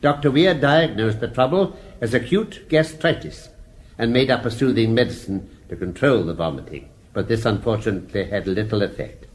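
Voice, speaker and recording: An actor playing a formal, deliberate British judge addressing a jury in a radio drama. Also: male voice, lowish voice, natural voice. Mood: grave, measured. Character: formal, measured male judge summing up a murder case.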